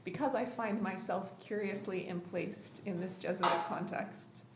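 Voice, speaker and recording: Woman professor, measured and reflective, mid-pitched voice, roomy echo with faint background hiss. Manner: thoughtful, measured, with light laughs. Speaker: professor and writer. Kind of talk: reflective remarks in a group conversation.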